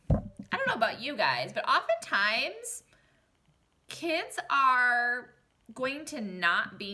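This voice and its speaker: A woman reading a picture book aloud expressively, like a teacher, pausing to explain and question young listeners. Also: a little high, bright, forceful tone. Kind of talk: expressive children's read-aloud.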